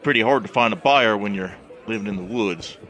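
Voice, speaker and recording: A man's voice: fairly low pitch, brisk, fairly bright tone, soft background music, a faint crowd, lightly acted. A man voicing an old frontier barman and veteran, terse and wry, with a laconic, dry drawl, in a western fantasy audio drama. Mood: cagey. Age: older.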